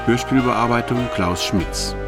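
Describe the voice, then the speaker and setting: A man's voice: mid-range voice, a little slow. A man reading a scripted closing credits announcement as a radio announcer. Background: brass music.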